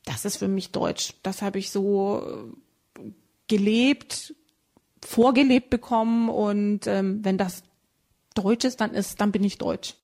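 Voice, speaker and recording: A woman's voice: mid-range voice, lively intonation, slow, vocal fry, dark tone. A woman giving a documentary interview, cheerful and with frequent hesitant fillers.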